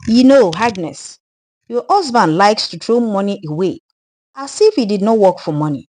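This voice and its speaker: A woman's voice: medium pitch, animated, normal speed, darkish tone, a little hiss or hum, voice pushed up, natural voice. A woman voicing an arrogant, stingy Nigerian businessman in a folktale, scornful, emphatic and colloquial, with a Nigerian accent. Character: arrogant, stingy, callous businessman, brother-in-law.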